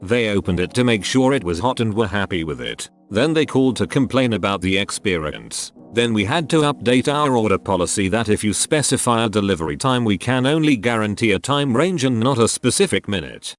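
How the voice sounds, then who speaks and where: A man reading forum posts aloud as a narrator, even and methodical, spelling out abbreviations letter by letter. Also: on the low side, brisk, hardly any pauses. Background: soft background music.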